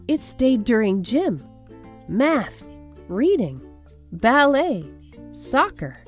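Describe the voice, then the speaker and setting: A woman reading children's picture books aloud in an expressive storytelling style, with music behind her. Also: on the high side. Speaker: storybook reader. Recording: music under the voice. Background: background music.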